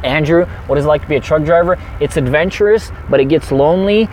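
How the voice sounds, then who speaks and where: A man's voice: a little high, a little hiss or hum, rough recording. A man who drives a truck for a living, chatting energetically on a livestream and reading out viewer comments. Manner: energetic, chatty, fast-talking.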